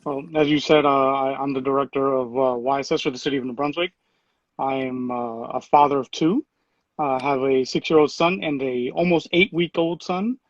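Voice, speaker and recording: A man in his thirties, a city program director, answering interview questions in a relaxed way with lots of fillers and restarts. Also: mid-range voice, normal speed, dry recording, muffled recording. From Puerto Rico.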